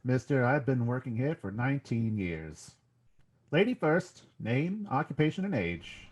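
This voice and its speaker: A man's voice: medium pitch, very animated, a little slow. A man acting a weary, matter-of-fact clerk in a 1940s-style radio drama. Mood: bored, officious. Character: weary, brisk marriage licence clerk, nineteen years in.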